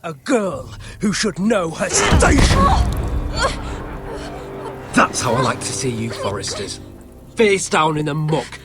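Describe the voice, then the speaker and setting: A man's voice: a little high, big pitch swings, takes it slowly, long pauses, over loud music. A man playing an arrogant, cruel young lord in a dramatic video game, sneering and petulant as he taunts. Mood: sneering contempt. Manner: sneering, taunting, profane, imperious.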